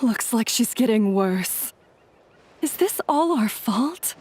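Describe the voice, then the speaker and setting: Anxious, high-voiced traveller. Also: female voice, lively intonation, takes it slowly, a little mellow, slight background noise. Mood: worried. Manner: earnest, worried. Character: anxious, caring female traveller. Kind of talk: video game voice acting.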